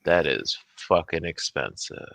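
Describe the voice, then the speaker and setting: Casual male talk guest, low-pitched with forceful, bright edge, blunt and sweary, close dry sound. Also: sing-song, a little slow, gravelly, dry recording. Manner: blunt, heavily profane. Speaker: guest on a livestream talk show. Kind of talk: casual chat.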